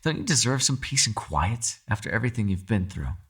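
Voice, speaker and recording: A man playing a captive mind-controlling being in an ASMR roleplay, calm, shrewd and persuasive, speaking in a measured, formal, quietly condescending way. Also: lowish voice, breathy edge, full character voice. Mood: coolly probing. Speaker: voice actor. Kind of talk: ASMR roleplay, dramatic monologue.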